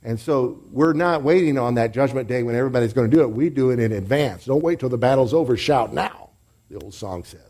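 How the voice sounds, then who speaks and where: A man's voice: mid-range voice, brisk. Man preaching, leading call-and-response. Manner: call-and-response, leads congregation in shouts. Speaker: pastor. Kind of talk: sermon teaching.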